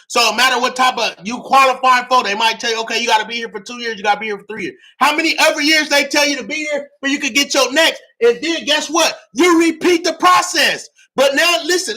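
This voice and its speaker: Man, very high voice, bright and forceful, loud lecture style, keeps checking the chat is with him, voice getting strained. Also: poor audio. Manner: loud, often yelling, repeats phrases, asks the audience for replies. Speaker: livestream real estate teacher. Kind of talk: fired-up livestream lecture.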